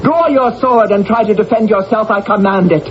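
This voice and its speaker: A woman's voice: medium pitch, normal speed, slight background noise, natural voice. An actress playing a proud, vengeful warrior queen of ancient Britain in an imperious, theatrical radio drama style. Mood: commanding, defiant. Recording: dull vintage radio broadcast recording.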